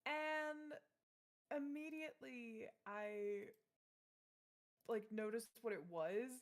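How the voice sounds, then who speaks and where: A woman with a somewhat high voice tells her story in a relaxed online Q&A, amused and animated as she goes. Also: takes it slowly. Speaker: voice actor guest. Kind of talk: casual livestream Q&A.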